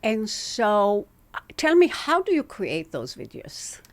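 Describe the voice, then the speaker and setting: A woman's voice: mid-range voice, huge pitch swings, takes it slowly, no room sound. A woman hosting an interview show, presenting her guest and asking questions. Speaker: interviewer and host. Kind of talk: scripted introduction and interview.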